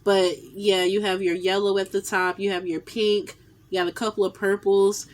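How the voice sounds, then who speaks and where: A woman's voice: medium pitch, little pitch movement, normal speed. A woman in her thirties with a nasal, stuffy voice from a cold, chatting casually about makeup palettes, filling her talk with "um" and repeated "definitely", recorded on a phone held at arm's length in a small room at home. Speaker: beauty vlogger. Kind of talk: casual makeup haul review. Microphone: phone camera at arm's length.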